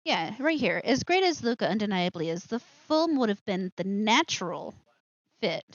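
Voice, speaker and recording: A woman's voice: mid-range voice, big pitch swings, moderate pace, no room sound, highs cut off. A woman hosting a live show with casual commentary, rambling and interrupting herself with asides.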